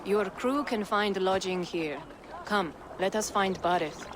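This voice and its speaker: A woman playing a wry, worldly one-eyed merchant and guide in a video game, speaking in measured, formal phrases with a teasing composure. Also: medium pitch, noisy background, close to an everyday voice. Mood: worldly, explanatory. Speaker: trader and guide character. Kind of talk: dramatic video game acting.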